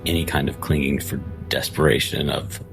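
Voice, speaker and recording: A man playing a tabletop roleplay game, narrating and speaking in character in a measured, formal way. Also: extremely low pitch, steady tone, creaky. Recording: loud music under the voice.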